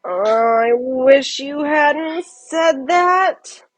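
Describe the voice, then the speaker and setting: A woman's voice: high-pitched, very slow, soft background music, pitched above the performer's own voice. A woman voicing a self-conscious young schoolgirl in a children's storybook, dramatic and childlike. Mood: groaning. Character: self-conscious young schoolgirl, worried what others think.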